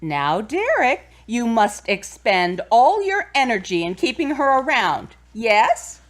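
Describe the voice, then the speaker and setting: A woman playing a warm, gently teasing mother of a grown son in an audio drama. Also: a little high, sing-song, takes it slowly. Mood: fond, gentle.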